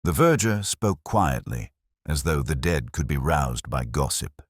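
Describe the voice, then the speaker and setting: A man playing a weary, sharp-minded police detective, narrating a mystery in a measured, literary, wry and brooding way. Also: extremely low pitch, very animated, breathy edge, no room sound, clean studio sound, full character voice. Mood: weary, reflective. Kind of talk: scripted first-person detective narration.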